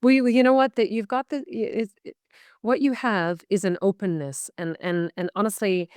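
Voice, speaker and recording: A woman's voice: mid-range voice, steady tone, moderate pace, a little mellow, dry recording. A woman with a Canadian accent talking earnestly and reflectively as a guest on a video call interview. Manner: earnest, reflective, speaks in long searching phrases. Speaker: guest, medical intuitive. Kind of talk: casual interview talk.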